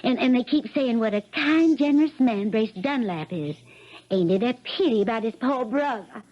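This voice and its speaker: An actress playing an anxious, gentle young country girl with hesitant rustic speech in a 1970s radio drama. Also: fairly high pitch, expressive, normal speed. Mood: worried. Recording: muffled, noisy 1970s radio broadcast.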